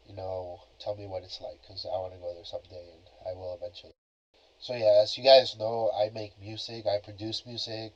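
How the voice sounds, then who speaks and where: A young man talks on his own podcast in a relaxed, rambling way, leaning on filler phrases as he goes. His voice sits on the low side. It comes through a cheap plug-in mic that sounds dull and lo-fi. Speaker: podcast host. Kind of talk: casual solo podcast talk. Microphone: cheap plug-in computer microphone.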